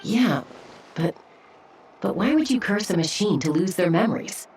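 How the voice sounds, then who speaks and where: A woman playing an earnest, questioning young traveler in an anime game, gently probing. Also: on the low side, lively intonation, moderate pace, recorded from a distance, lightly acted.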